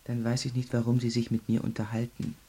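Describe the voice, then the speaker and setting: An actor in a German radio crime drama playing an arrogant, self-assured young law student in custody, husky, clipped and defiant. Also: male voice, mid-range voice, monotone, fairly quick, warm tone, natural voice. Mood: guarded, haughty. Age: young adult.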